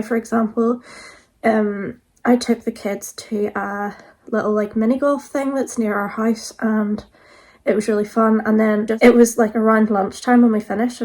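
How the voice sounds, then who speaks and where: A woman in her thirties, a bit croaky from being sick, chatting casually to camera as a vlogger with lots of "like" fillers. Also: medium pitch, a little mellow. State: croaky, recovering from illness.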